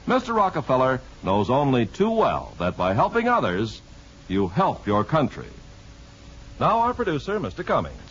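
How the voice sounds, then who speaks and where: A man's voice: mid-range voice, wildly swooping pitch, moderate pace, fairly bright tone, slightly rough. A man reading scripted narration as a 1950s radio announcer, formal and emphatic. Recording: dull 1950s radio recording.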